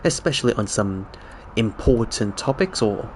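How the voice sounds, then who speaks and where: A man's voice: on the low side, expressive, moderate pace, a little hiss or hum. A man whose first language is Cantonese, chatting casually with viewers on a game stream, rambling and correcting himself. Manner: rambling, self-correcting, chuckling. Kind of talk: casual live-stream chat.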